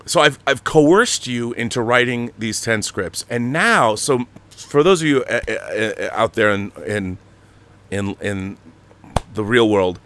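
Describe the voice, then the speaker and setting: A man hosting a casual interview, excitable and emphatic, often repeating words as he gets a question out. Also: mid-range voice. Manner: excitable, stammering repetitions, emphatic. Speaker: podcast host, audio drama director. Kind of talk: casual animated interview chat.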